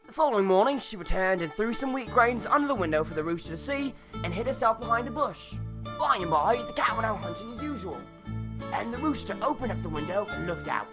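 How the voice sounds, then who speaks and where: A woman's voice: mid-range voice, animated, fairly quick. A woman storyteller narrating a children's folk tale. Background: instrumental music.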